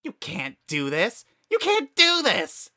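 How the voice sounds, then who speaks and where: A man's voice: sing-song, slow, bright, forceful tone, exaggerated voice. A man voicing a small, spiteful, menacing flower creature who shrieks and taunts. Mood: furious shrieking. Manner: high taunting shrieks, cackling.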